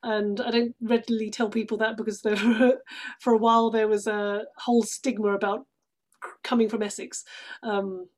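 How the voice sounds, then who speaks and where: A woman's voice: medium pitch, level tone, normal speed, a little mellow, a little hiss or hum. A woman from outside London with a British accent, chatting casually as a guest in an interview. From England, outside London. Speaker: podcast guest, stage actress.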